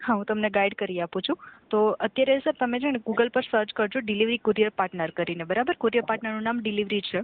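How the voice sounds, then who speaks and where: A woman's voice: medium pitch, fast. A woman speaking as a customer care agent, formal and courteous, often checking that the caller agrees. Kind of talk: scripted, polite customer service phone talk. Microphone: telephone call. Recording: tinny phone line.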